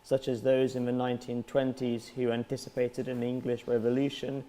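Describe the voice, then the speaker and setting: A man's voice: medium pitch, completely flat delivery, normal speed, warm tone. A man moderating a talk, reading out audience questions.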